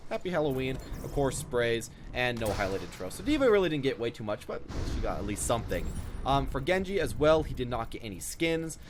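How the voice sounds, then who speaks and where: A man's voice: medium pitch, sing-song, fairly quick, background noise. A young man giving casual, rambling off-the-cuff commentary as a gaming video host.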